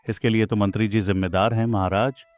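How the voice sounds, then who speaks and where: An actor playing a clever, confident village farmer, respectful but bold, in an animated moral story. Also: male voice, on the low side, a little fast, no room sound, faint music behind, close to an everyday voice. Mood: politely cunning.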